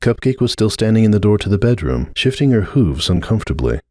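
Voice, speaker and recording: Deep-voiced, expressive male narrator. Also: fast, no echo, clean studio sound. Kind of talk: dramatic audiobook narration of fan fiction.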